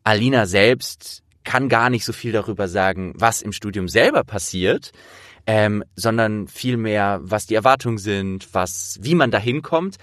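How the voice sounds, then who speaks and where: A man in his thirties chatting casually as a podcast interviewer, with a jovial, animated manner. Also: on the low side, measured pace, dry recording, studio quality.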